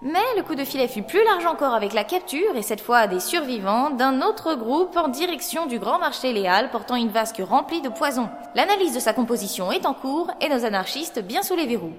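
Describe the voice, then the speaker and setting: High-voiced brave young heroine. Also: female voice, animated, fairly quick, hardly any pauses, soft background music. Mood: brisk newsreading. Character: brave, affectionate young heroine and sister, dramatic narrator. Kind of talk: audio drama acting and narration.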